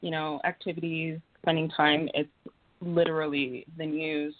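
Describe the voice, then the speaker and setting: A woman's voice: low-pitched, flat delivery, normal speed, no room sound. Young woman guest, earnest and heartfelt, dry phone-call audio. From Rwanda. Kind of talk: casual radio talk. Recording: dry tinny phone line.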